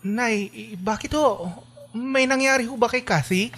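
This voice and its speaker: An actor playing an expressive, lovestruck young man in a radio drama, engaged and playful with his best friend. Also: extremely high pitch, unhurried, slightly rough, heavily acted. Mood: anxious. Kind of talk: radio drama acting with first-person narration. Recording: music behind the voice. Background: background music.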